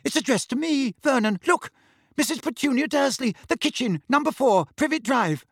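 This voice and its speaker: A man's voice: high-pitched, huge pitch swings, a little slow, creaky, a bit bright, slightly rough, no echo, clean studio sound, voice pushed up, exaggerated voice. A man voicing a middle-aged aunt in an audiobook, snappish and easily shaken. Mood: shaking, frightened. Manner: shaky when frightened, clipped when snappish.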